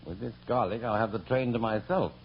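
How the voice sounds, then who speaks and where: An actor playing a fussy, henpecked middle-aged husband with dry sarcasm in a 1940s radio comedy. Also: male voice, on the low side, brisk, lightly acted. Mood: dry, put-upon. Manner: flustered, dryly sarcastic, fussy. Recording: muffled, noisy old radio recording.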